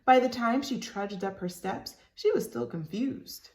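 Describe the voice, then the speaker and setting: A woman reads a children's storybook aloud expressively, stopping for animated asides to her young listeners. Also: a little high, measured pace, roomy sound. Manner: animated, addresses listeners as friends.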